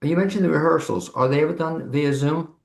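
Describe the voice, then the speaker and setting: A man hosting a conversational interview, putting questions to his guests as if thinking out loud. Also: on the high side, fairly quick, vocal fry. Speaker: host, interviewer. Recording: echoey room sound.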